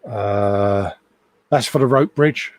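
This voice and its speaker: A man's voice: fairly low pitch, slow, slight background noise. Chatty man on a live stream. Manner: relaxed, chatty, reads out viewer comments. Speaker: co-host of a live hobby stream. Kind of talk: casual chat.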